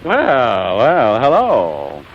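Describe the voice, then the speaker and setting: An actor playing a wry, hungover playboy with a wisecracking, sardonic manner, in 1940s comedy style. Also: male voice, mid-range voice, huge pitch swings, extremely slow, soft background music, heavily acted. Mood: exasperated. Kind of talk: 1940s comedy film or radio acting. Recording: muffled, noisy vintage 1940s recording.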